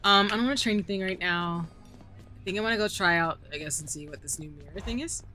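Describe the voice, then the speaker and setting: A woman's voice: on the low side, quick pace, bright, forceful tone. A young woman with a Southern US accent chatting casually on a live stream while gaming, giggly and rambling with lots of fillers. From southern United States. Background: faint background music.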